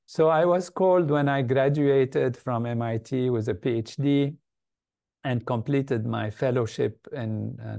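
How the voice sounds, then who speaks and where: An older man from Lebanon, a guest in an interview, giving measured, thoughtful answers with frequent pauses and fillers. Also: mid-range voice, creaky, mellow. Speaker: guest, meditation organization leader.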